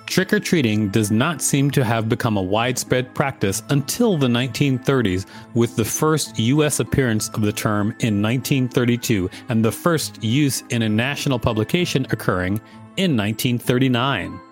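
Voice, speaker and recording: A man with a mid-pitched voice co-hosts a podcast, reading an article aloud and commenting on it. Faint music sits underneath. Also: animated, normal speed. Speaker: podcast co-host.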